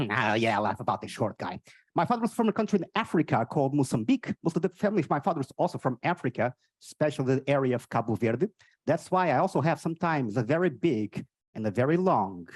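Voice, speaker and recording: Animated Portuguese man doing stand-up. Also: on the high side, dry recording. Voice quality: odd, cartoonish voice. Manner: energetic, teasing banter with the audience. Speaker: stand-up comedian. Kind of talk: live stand-up with crowd work. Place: on stage at a live event.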